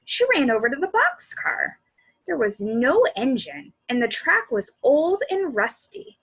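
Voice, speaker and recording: Female children's storyteller, high voice with lively swings, expressive read-aloud that speaks to young listeners. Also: a little slow, rough recording. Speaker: children's librarian reading aloud.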